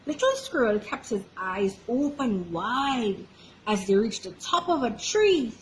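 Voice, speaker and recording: A woman storyteller reading a children's picture book aloud in an expressive, animated way. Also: fairly high pitch, measured pace, slight background noise, poor audio. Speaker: library storyteller.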